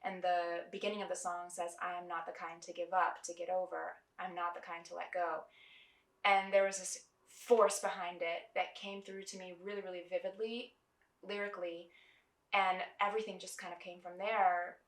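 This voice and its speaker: A young woman from New Mexico, a singer-songwriter, reflecting in an earnest, measured documentary interview. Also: lowish voice, flat delivery. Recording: roomy, distant mic.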